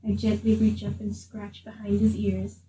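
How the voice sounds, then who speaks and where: A woman's voice: medium pitch, monotone, moderate pace, recorded from a distance. A woman playing a tabletop role-playing game, bantering casually in a wry, blunt way. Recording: echoey room, off mic, background noise.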